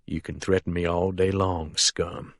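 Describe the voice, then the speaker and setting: A man voicing a hot-tempered, physically huge male sheriff who barks his orders in a coarse manner and drops to a soft menace when he threatens someone. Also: medium pitch, normal speed, dry recording, studio quality, natural voice.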